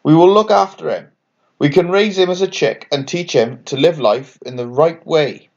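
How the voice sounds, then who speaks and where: A man's voice: fairly high pitch, dull audio. A man voicing a thoughtful, kindly raven who leads the birds in a children's bedtime story. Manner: thoughtful, measured.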